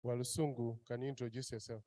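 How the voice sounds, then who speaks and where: A man runs a meeting in a plain mid-pitched voice. He talks in halting bursts, full of fillers and restarts, while giving practical announcements. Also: little pitch movement, mellow. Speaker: meeting facilitator.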